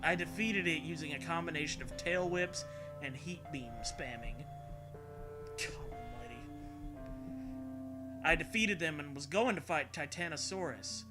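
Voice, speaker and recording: A man's voice: on the high side, unhurried, pauses often, over music. A man reading a story aloud as a host, in a comedic dramatic style with casual, irreverent, sweary asides. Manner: irreverent, sweary, often breaks into laughter.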